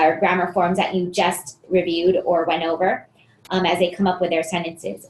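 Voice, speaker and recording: A woman presenting a teaching webinar, explaining activities steadily and often pausing on fillers. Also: medium pitch, reverberant, poor audio.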